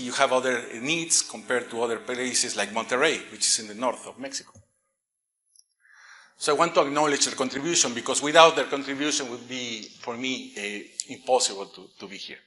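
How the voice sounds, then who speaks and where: A middle-aged man from Peru presents at a conference. His voice is bright and sits in a middle register, and he pauses with many fillers and restarts as he goes. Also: mid-range voice. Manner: light joking aside. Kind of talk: conference talk.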